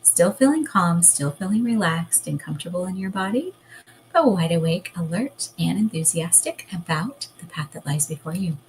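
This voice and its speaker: Calm soothing woman. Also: on the low side, moderate pace, a little hiss or hum, rough recording. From United States. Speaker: hypnotherapist guest. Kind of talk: guided hypnosis then casual interview chat.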